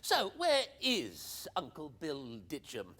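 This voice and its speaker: Jaunty, swooping upper-class English army captain. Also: male voice, on the high side, huge pitch swings, slow pace, bright, forceful tone, heavily acted. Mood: breezy, persuasive. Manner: jaunty, hearty, old-boy banter. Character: breezy, cheeky upper-class ex-army captain and wireless engineer. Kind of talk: radio play acting.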